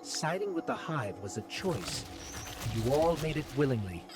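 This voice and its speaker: An actor playing a wary, dry-witted mission companion in a video game, speaking in a pointed, skeptical way. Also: male voice, medium pitch, very animated, normal speed, loud music behind the voice. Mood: suspicious. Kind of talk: video game dialogue acting.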